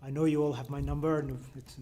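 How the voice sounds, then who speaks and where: Male moderator, slightly higher voice, easygoing and polite, lots of ums. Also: flat delivery, fast talker, warm tone. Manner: polite, easygoing, filler-heavy. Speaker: host, moderator. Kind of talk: live panel discussion.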